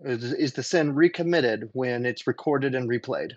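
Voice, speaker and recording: A man in a casual debate, making his points in a deliberate, halting, questioning way over dull, lo-fi audio. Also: medium pitch, dry recording.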